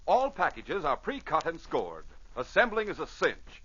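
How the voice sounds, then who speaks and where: A man delivers punchy, scripted old-time radio announcing. His fairly high voice is forceful, with a raspy edge and emphatic swings. The recording is dull and vintage. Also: wildly swooping pitch, measured pace. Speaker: announcer and narrator.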